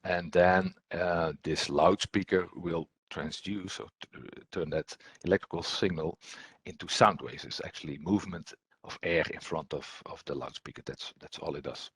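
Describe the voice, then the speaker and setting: A man with a low, husky voice walks through a technical training presentation. He talks like an instructor going through slides, halting often with ums and repeated words. The sound is close and dry. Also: a bit bright, dry recording.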